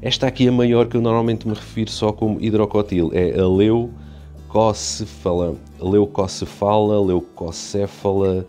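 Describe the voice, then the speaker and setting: Man, European Portuguese accent, deep voice, soft mellow tone, rambling casual chat, faint music behind. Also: steady tone, measured pace. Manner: chatty, rambling, many restarts and fillers. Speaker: aquarium hobby youtuber. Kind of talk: casual walkthrough narration.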